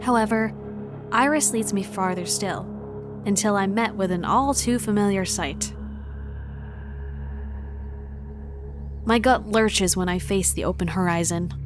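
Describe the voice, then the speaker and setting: A woman's voice: medium pitch, moderate pace, lightly acted. Woman acting a wary traveler, narrating over music. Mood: wary, introspective. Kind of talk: dramatic first-person visual novel narration.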